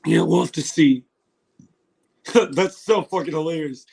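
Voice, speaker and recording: A hoarse man hosting a casual livestream reaction, excitable and laughing, swearing now and then, recorded on a phone. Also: a little high, measured pace. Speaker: livestream host.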